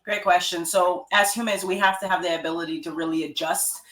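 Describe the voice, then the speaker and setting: A woman gives an interview as a guest. She speaks with animated emphasis and leans hard on key points. Her voice comes over a video call and rings with noticeable room echo. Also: on the low side, fairly quick. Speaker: guest, author and life coach. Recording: echoey room.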